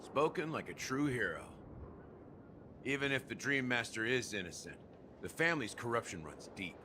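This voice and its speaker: An actor playing a world-weary, enigmatic middle-aged man in a video game, speaking in a measured, wry and reflective way. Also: mid-range voice, sing-song, noisy background, lightly acted. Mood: wry, guarded. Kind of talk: dramatic game dialogue.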